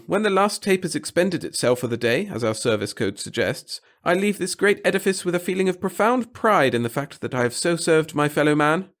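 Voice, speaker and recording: A man's voice: a little high, voice pushed up, close to an everyday voice. A man voicing a servile, fussy little clerk who babbles in flowery, pompous phrases, as a character in a sci-fi audiobook. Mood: grandiose, proud.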